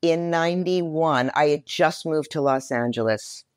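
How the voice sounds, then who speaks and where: A woman in her sixties speaking candidly and reflectively as an interview guest, with measured emphasis. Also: low-pitched, no room sound, studio quality.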